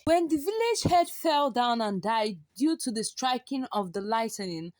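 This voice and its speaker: A woman's voice: a little high, animated, a little slow, dry recording. A woman telling a folktale dramatically and expressively, drawing out and repeating words for effect. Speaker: storyteller.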